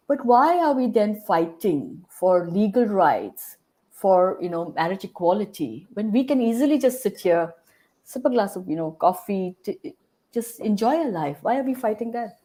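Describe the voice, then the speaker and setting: A woman hosting a casual interview, chatty and quick to laugh. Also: medium pitch, lively intonation, mellow. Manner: chatty, laughs often, mixes in Hindi words. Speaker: podcast host.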